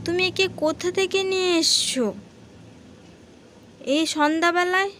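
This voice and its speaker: A woman's voice: very high voice, steady tone, a little slow, noisy background, pitched above the performer's own voice. A woman voicing a stern, suspicious village wife in a horror cartoon, scolding and questioning. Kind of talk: animated horror cartoon dialogue.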